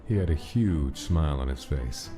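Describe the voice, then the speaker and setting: A man's voice: very deep voice, animated, normal speed. A man reading scripted story narration as the narrator of a drama. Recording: music clearly under the narration.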